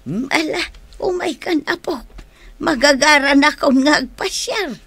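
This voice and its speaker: A woman playing an elderly, doting and watchful grandmother in a radio drama, husky, with an Ilocano accent. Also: high-pitched, a little slow, fairly bright tone, dry recording. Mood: indulgent.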